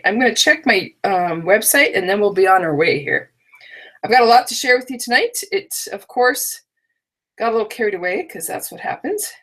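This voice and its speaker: A woman's voice: on the high side, a bit bright. A middle-aged woman with a scratchy, drowsy voice, casually chatting as she hosts a live online crafting workshop from her home stamp room. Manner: chatty, rambling, talks to unseen viewers. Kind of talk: casual live-stream chat.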